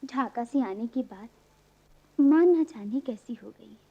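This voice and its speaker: An actress playing a hopeful, devoted young graduate daughter in a radio play, earnest and respectful in manner. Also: female voice, on the high side, animated, slow pace, breathy edge, exaggerated voice. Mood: gentle concern. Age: young adult. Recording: muffled archival radio recording.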